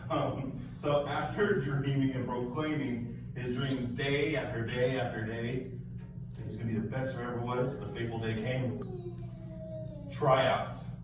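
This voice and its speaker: A man's voice: mid-range voice, lively intonation, unhurried. A man tells a children's story live, heard from a distance in a very echoey room. His delivery is informal and playful, with big swings in intonation and some hesitations as he narrates. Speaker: puppet show narrator and storyteller. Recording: echoey, noisy room, far from mic.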